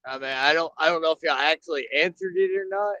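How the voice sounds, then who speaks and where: A man's voice: fairly high pitch, quick pace, pushed and bright, clear, resonant tone, dry recording. A man on a live chat show asking a question hesitantly, with stops and fillers. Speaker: call-in guest. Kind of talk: casual chat. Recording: dull lo-fi call audio.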